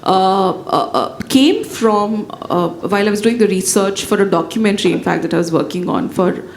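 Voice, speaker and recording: A woman writer whose first language is Bhojpuri speaks on a panel in an echoey hall. She answers thoughtfully, with many fillers and restarts. A faint rumble sits low behind her voice. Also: normal speed, creaky. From India. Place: event hall with audience.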